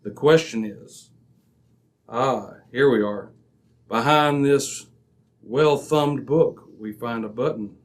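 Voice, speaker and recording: An actor as a brilliant, impatient male detective in a radio mystery. His voice is mid-pitched and authoritative. He lays out his reasoning crisply, like a man used to being obeyed. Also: slow, pauses often, lightly acted. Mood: assured, deductive. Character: commanding, razor-sharp, impatient male detective. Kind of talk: old-time radio drama acting.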